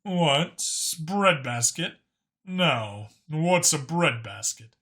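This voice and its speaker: A man's voice: on the high side, expressive, very slow, a bit bright, full character voice. A man voicing a talkative alien dragon informant who explains things knowingly and with emphasis in an audiobook story. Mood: explanatory, animated.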